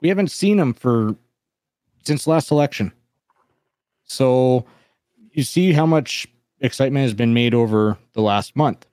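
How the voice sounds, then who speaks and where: Emphatic Canadian man, mid-pitched, on podcast. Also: lively intonation, measured pace, long pauses, a little mellow, no room sound. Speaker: podcast co-host. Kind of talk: casual opinionated panel talk.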